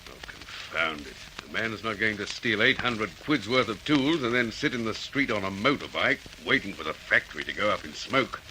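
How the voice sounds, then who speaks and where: Dogged, animated police detective. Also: male voice, fairly low pitch, normal speed, few pauses, slight background noise, full character voice, low-quality recording. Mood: puzzled, irritated. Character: dogged male police detective, frank and methodical. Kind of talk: old-fashioned radio drama acting.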